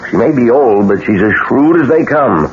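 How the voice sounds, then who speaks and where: An actor playing a brash, scornful husband with mocking, slangy delivery in a 1970s radio drama. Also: male voice, medium pitch, animated, normal speed, darkish tone, lightly acted. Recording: dull, noisy old radio broadcast.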